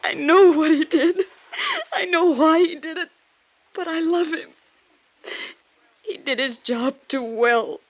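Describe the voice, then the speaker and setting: A woman's voice: high-pitched, slow, pauses often. An actress playing a bitter, wounded young woman in love in a 1950s radio drama, subdued and brittle. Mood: tearful.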